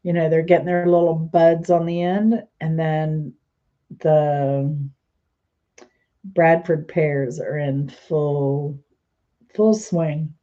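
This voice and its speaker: Woman host, stuffy and congested, casual rambling chat, low voice, dull lo-fi recording. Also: fairly even intonation, slow pace, long pauses. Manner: chatty, rambling, trails off mid-sentence. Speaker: channel host.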